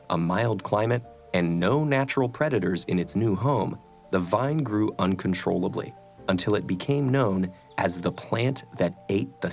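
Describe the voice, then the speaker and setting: A man delivers scripted documentary narration in a low, gravelly voice. Music plays softly behind him as he reads.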